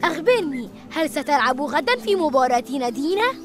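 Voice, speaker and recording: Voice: sits high, sing-song, brisk, over music. An actor voicing a cheeky young boy in a cartoon, who teases and mocks his siblings with sarcasm. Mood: teasing. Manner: sarcastic, teasing. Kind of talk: animated cartoon dialogue.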